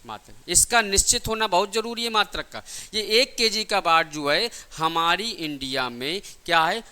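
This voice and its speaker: A man's voice: sits high, bright, forceful tone, smooth. A man teaching a class, asking questions and answering them himself, repeating key points in simple everyday examples. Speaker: physics teacher for exam coaching.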